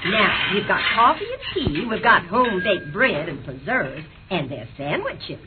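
An actress playing a hospitable, excitable farm wife with a homey country manner in an old radio drama. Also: female voice, mid-range voice, unhurried. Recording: phone-like old radio recording, music behind.

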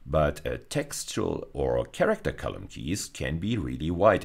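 A middle-aged man with a German accent giving a recorded university lecture, thinking aloud and restarting phrases as he explains. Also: low-pitched. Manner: thoughtful, restarts phrases, lively intonation.

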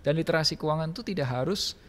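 A man's voice: a little high, quick pace, a bit bright. A man in his thirties with an Indonesian accent, explaining things emphatically in a casual podcast interview, often with rhetorical questions. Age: 30s. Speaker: podcast guest, financial planning business owner. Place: small office room with bookshelves. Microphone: close podcast microphone on a stand.

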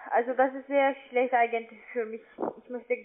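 A woman's voice: on the high side, level tone, moderate pace. A woman speaking politely as a non-native speaker, working as a call-center account manager. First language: not German. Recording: tinny narrow phone line.

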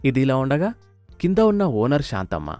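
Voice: mid-range voice, big pitch swings, brisk, mellow, faint music behind. A narrator reading a scripted moral story.

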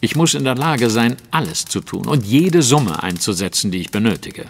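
Brilliant composed detective, animated pitch, precise formal speech, audio drama, reverberant. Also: male voice, normal speed, gravelly, a little hiss or hum. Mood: composed, assured. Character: brilliant, self-assured gentleman detective, brisk and composed. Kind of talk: German audio drama acting.

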